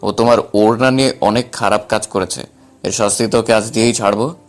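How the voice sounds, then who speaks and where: A man playing a protective, defiant young husband, the calm hero of an audio story, with a firm manner. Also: on the low side, a little fast, lightly acted. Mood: firm, challenging.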